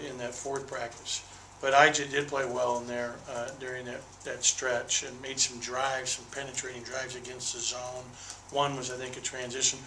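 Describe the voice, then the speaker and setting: A man's voice: mid-range voice, bright, forceful tone, slight background noise. A man in his sixties, a basketball head coach, giving candid, detailed postgame answers at a table microphone in a small press room. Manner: candid, detailed, repeats words when restarting. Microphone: table microphone on a desk. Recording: roomy echo.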